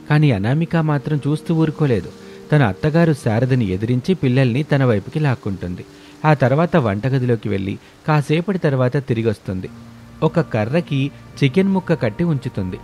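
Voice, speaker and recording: A man's voice: mid-range voice, a little mellow, slight background noise. A man reading scripted narration for a story as its narrator.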